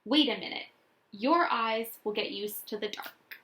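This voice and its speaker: Woman playing a bossy young girl, high and bright. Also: a little slow, a little hiss or hum. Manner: grumbling, insistent. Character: grumbling young girl, bossy big sister hiding fear.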